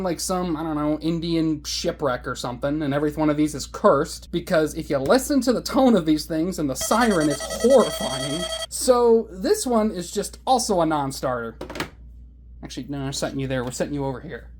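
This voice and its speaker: A man with a high voice, casually showing his hobby collection in a sarcastic, exasperated, exaggerating rant. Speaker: hobbyist video host. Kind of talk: casual show-and-tell rant.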